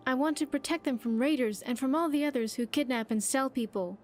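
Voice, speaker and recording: A woman's voice: high voice, a little fast, a little mellow, faint music behind, lightly acted. A woman voicing an earnest, grateful young survivor in a video game, chatty and sincere with slightly stilted phrasing. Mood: earnest, hopeful. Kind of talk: video game character dialogue.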